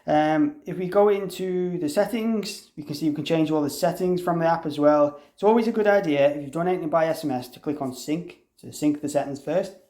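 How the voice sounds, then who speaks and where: A man with a slightly high-pitched voice presents an informal software demonstration. He explains each step conversationally, thinking aloud without a script. The sound is roomy, with clear echo around his voice. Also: a little fast, hardly any pauses. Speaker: demonstrator presenting a product tutorial. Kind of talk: informal software walkthrough, unscripted. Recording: roomy, echoey room sound.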